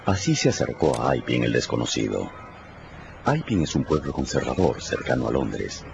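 Super deep gravelly guy narrating a radio play, dramatic, music under it. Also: sing-song, moderate pace. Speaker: narrator, announcer. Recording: muffled radio production with music.

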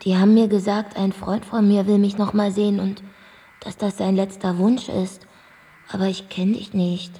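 Actress as a creaky-voiced, sardonic bar singer. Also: female voice, mid-range voice, flat delivery, moderate pace, warm tone, in a large hall, close to an everyday voice. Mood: dry, dismissive. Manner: flippant, blunt, dry. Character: brash, worldly-wise, sardonic female bar singer. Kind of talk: German radio drama acting.

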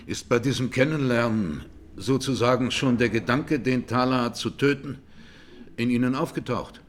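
A man's voice: medium pitch, normal speed, slight background noise, close to an everyday voice. A man playing a formal, precise presiding judge who probes the accused in a courtroom radio drama. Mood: probing, measured.

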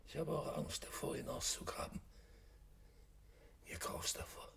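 A man's voice: a little slow, fairly bright tone, roomy sound, natural voice. An actor playing a fearful, guilt-ridden man in a radio play, anxious and hesitant, often whispering, with speech. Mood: anxious, dreading. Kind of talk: German radio play acting.

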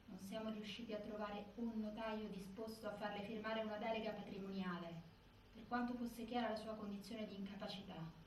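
An actress playing a resolute, grieving sister, delivering a measured, articulate stage monologue. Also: female voice, medium pitch, fairly even intonation, a little mellow, lightly acted. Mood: grave, resolute. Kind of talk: scripted theatrical monologue. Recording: very echoey, distant, faint noise.

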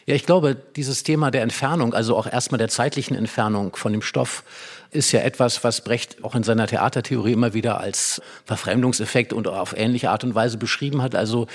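Guy with a mid-pitched voice explaining stuff on stage, thoughtful, pauses to pick his phrasing. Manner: reflective, careful phrasing with pauses. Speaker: expert guest, dramaturg and writer. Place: hall with a live audience.

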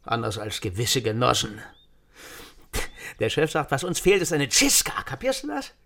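An actor playing a bitter, agitated East German agent in a radio drama, volatile and fiercely loyal, ranting and repeating himself. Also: male voice, medium pitch, huge pitch swings, normal speed, gravelly, a bit bright, full character voice. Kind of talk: naturalistic radio drama acting.

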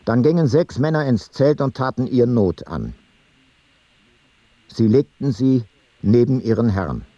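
A grave chronicler of ancient voyages in a radio play. The voice is dark and husky, set close and dry, and the delivery stays measured and matter-of-fact. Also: male voice, mid-range voice, expressive, dry recording. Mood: grave, detached. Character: grave male chronicler reading ancient travel logbooks. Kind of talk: literary radio play narration.

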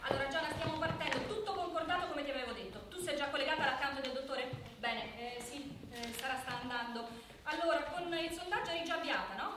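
An actress playing a brisk, controlling television journalist in a radio drama, businesslike and polite but firm. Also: female voice, high-pitched, natural voice. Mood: hurried, organizing. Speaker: actor in a radio play. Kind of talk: naturalistic radio drama, TV news presenting. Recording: echoey room, distant mic, faint noise.

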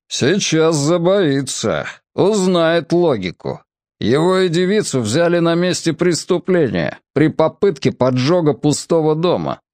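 A man voicing a gruff, domineering middle-aged police captain who talks sternly. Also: a little high, animated, normal speed, hardly any pauses, a little mellow, dry recording, studio quality, voice pushed up, natural voice. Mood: menacing.

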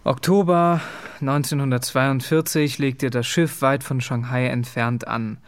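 A man's voice: medium pitch, fairly even intonation, measured pace, smooth, close to an everyday voice. An actor playing an aloof, teasing male lover, coolly affectionate, in an intimate radio play. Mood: reading aloud.

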